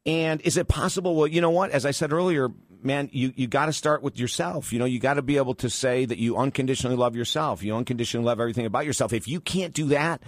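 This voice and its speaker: A man's voice: mid-range voice, fast, few pauses, no room sound. Man, radio talk show host, upbeat, enthusiastic, emphatic, studio mic, dry close sound. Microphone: studio microphone.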